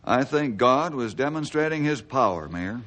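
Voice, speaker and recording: An actor playing an earnest, righteous forest ranger hero with an authoritative radio drama delivery. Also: male voice, medium pitch, animated, normal speed, fairly bright tone, lightly acted. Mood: firm, earnest. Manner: authoritative, declamatory. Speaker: lead ranger of a radio serial. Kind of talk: radio adventure drama acting.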